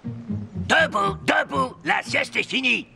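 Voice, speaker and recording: A man voicing a grumpy, bossy old dwarf leader in a cartoon, gruffly barking orders. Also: extremely high pitch, expressive, slow, full character voice. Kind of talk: animated fairy-tale acting. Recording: music behind the voice.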